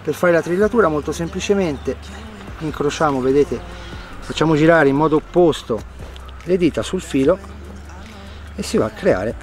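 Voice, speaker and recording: A middle-aged man hosting a fishing vlog by a lake, explaining his rig step by step in a lively, chatty way. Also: a little high, moderate pace, long pauses, far from the mic. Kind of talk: outdoor fishing tutorial and chat. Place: outdoors by a lake. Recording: background music under the voice.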